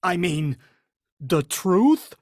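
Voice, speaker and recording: A woman's voice: on the low side, big pitch swings, extremely slow, darkish tone, dry recording, clean studio sound, heavily acted. A woman playing a bumbling middle-aged father in an amateur vintage radio play, blustery and sing-song.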